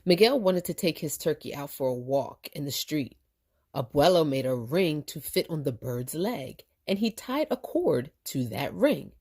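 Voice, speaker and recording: A woman reading a children's story aloud as a storytime teacher, expressive and deliberate. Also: deep voice, husky.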